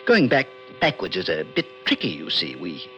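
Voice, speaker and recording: An actor in an old radio drama playing a polite, serious alien visitor who speaks in a measured, precise, mildly condescending way. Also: male voice, deep voice, huge pitch swings, bright, forceful tone, full character voice. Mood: patient, explaining. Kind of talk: 1940s-50s radio drama acting. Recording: muffled vintage radio recording, faint music.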